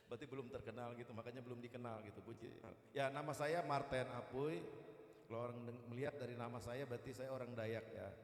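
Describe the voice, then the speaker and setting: A man's voice: mid-range voice, fairly even intonation, fairly quick. A man, a guest evangelist speaking to a church in a chatty, jocular sermon style. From East Kalimantan, Indonesia. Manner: chatty and jocular, with repeated "gitu" tags. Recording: echoey hall, long reverb.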